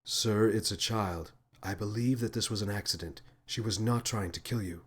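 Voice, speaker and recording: A man voicing a soft-spoken feathered alien. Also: fairly low pitch, moderate pace, husky. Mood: calm. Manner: soft, gentle, polite. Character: gentle feathered female alien officer, calm and kind.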